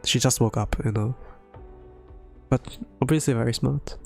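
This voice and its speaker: A man's mid-pitched voice in a casual streamed readalong, with music playing underneath. He comments haltingly, thinking aloud as he goes through a game story. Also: steady tone, gravelly. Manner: halting, thinking aloud between read lines.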